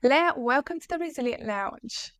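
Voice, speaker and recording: A woman hosting a podcast, asking her guest upbeat, quick questions in a casual interview. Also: high voice, lively intonation, a bit bright, clean tone, dry recording, clean studio sound. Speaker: podcast host.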